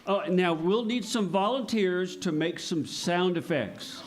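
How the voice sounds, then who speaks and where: A man with a somewhat high voice hosts a live children's audio theater show. He delivers scripted announcements and explains things in a patient, simple way. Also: measured pace, a little hiss or hum. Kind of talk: scripted live stage presenting and dialogue.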